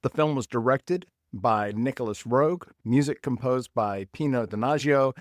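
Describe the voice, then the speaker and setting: A man's voice: medium pitch, normal speed, no room sound, clean studio sound. A man hosting a film podcast, reading an upbeat scripted intro, then chatting casually with his co-host. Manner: upbeat, reads from notes.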